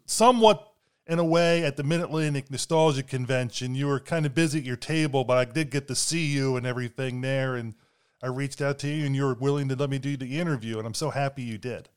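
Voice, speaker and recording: Smooth-voiced eager male podcast host. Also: mid-range voice, fast talker. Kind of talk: interview intro and questions.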